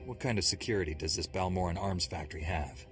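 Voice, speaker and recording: Game role: cold, commanding knight, low register, clipped and controlled, over a musical score. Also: male voice, quick pace, fairly bright tone, faint music behind. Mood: businesslike. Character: stern, cold male Jedi Knight commando. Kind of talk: scripted video game acting.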